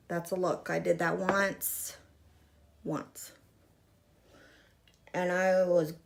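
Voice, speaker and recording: A mid-thirties woman talks through her makeup routine in a chatty, self-deprecating way, full of little asides. Her medium-pitched voice carries a gravelly fry. She sits close to a fixed camera in a small room. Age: 30s. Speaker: makeup vlogger. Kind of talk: casual makeup tutorial chat. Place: small room in front of a gray curtain. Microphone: fixed camera at arm's length.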